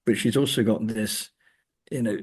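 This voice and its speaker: A man speaking as an academic guest in an interview, thoughtful and halting, often repeating words as he goes. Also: fairly low pitch, darkish tone, slightly rough. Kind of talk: thoughtful interview discussion of screen adaptations.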